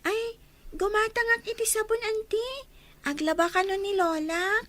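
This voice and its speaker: A woman's voice: very high-pitched, fairly even intonation, measured pace, fairly bright tone. A woman playing a naive, innocent little child who asks questions, in a radio comedy-drama.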